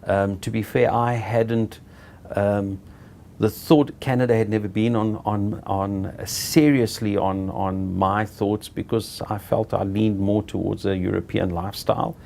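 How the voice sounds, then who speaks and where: A middle-aged South African man being interviewed, telling his own story in an unhurried, halting way and often correcting himself. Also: fairly low pitch, flat delivery, creaky, a little mellow, slight background noise. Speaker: interviewee, property director. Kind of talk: reflective personal storytelling.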